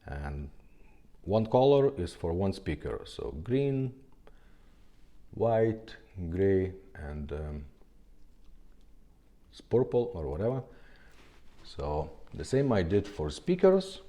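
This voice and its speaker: A man who speaks English as a second language, giving an informal hands-on tutorial in a halting way, often checking in at the ends of phrases. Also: sits low, sing-song, dark tone. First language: not English. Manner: halting, repeatedly ending phrases with a checking "okay?". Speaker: video tutorial host.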